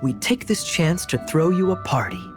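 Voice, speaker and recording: A man's voice: on the high side, big pitch swings, moderate pace. A man voicing a suave, jovial young knight in an anime-style video game, playful and theatrical. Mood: reassuring. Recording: game music behind.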